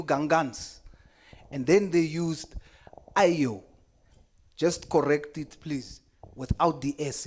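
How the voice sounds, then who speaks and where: A South African man whose first language is Afrikaans, giving an earnest, impassioned formal address at a committee hearing, repeating key points for emphasis. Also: on the high side. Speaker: member speaking in a committee hearing.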